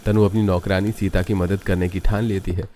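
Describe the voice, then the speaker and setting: A man's voice: deep voice, flat delivery, fairly quick, dark tone, slight background noise. A man reading scripted narration for a family cartoon story.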